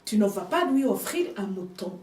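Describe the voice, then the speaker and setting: Female preacher, non-native speaker, measured emphatic sermon, distant echoey pickup. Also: mid-range voice, sing-song. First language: another language than French. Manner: slow, emphatic preaching with hushed asides. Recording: echoey room, speaker off mic.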